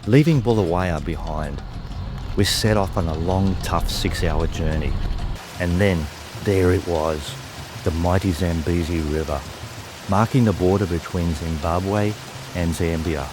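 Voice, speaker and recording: Travel host, male, low voice, calm measured narration, light music underneath.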